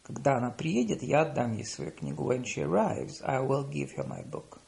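A man reading paired lesson phrases, saying the English slowly and deliberately after quicker Russian. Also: medium pitch, huge pitch swings, creaky, darkish tone. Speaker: language teacher.

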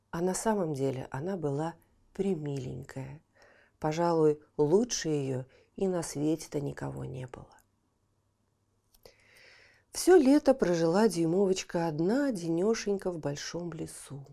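Soft-spoken woman with a dark, mellow voice. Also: low-pitched, vocal fry. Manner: gentle, expressive, often dropping to a hushed whisper. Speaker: storyteller. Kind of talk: children's audiobook narration.

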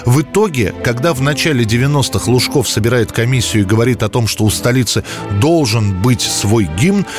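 A man's voice: mid-range voice, lively intonation, fairly quick, few pauses, over music. A man hosting a programme, reading a scripted narration about history in a studio. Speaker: radio programme host.

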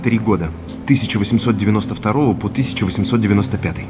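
A man's voice: fairly low pitch, racing, music in the background, close to an everyday voice. An actor playing an earnest, determined businessman husband in a naturalistic audio drama. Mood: excited.

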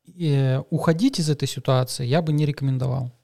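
A man's voice: medium pitch, fairly quick, creaky, darkish tone. A man, a father of a young schoolgirl, co-hosting a casual astrology podcast, reading news and talking with hesitant fillers and restarts.

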